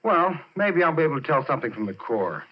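A man's voice: fairly high pitch, very animated, fast talker, husky. An actor in a 1940s radio drama playing a skinny, jittery oil-field man, with a hesitant, colloquial way of talking. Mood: uneasy, puzzled. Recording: muffled 1940s radio recording.

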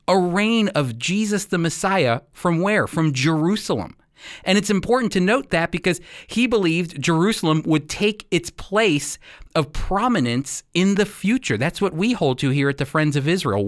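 A man's voice: a little high, dry recording, studio quality. A man presenting a radio program, explaining church history and scripture in an earnest, emphatic teaching manner.

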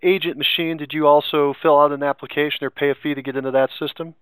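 Crisp, dry-sounding male podcast interviewer. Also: a little high, flat delivery, quick pace, fairly bright tone, no echo, low-quality recording. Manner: orderly, focused, guiding. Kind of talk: structured interview questioning.

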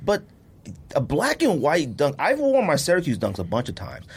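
A man chatting casually about his hobby as a podcast co-host, animated, slangy and emphatic. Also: on the high side, moderate pace, slightly rough. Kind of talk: casual sneaker chat.

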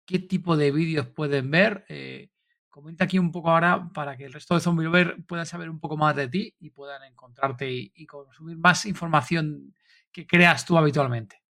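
A man co-hosting a casual podcast interview, chatty and enthusiastic, quick with praise. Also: a little high, creaky, sharp tone. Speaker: podcast co-host.

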